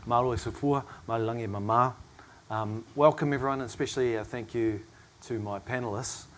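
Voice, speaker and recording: A hoarse man in middle age chairs a panel, his voice worn thin by a cough. He speaks reflectively and at a measured pace, at an ordinary middle pitch for a man. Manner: reflective, measured, with soft asides and small laughs. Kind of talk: panel discussion remarks.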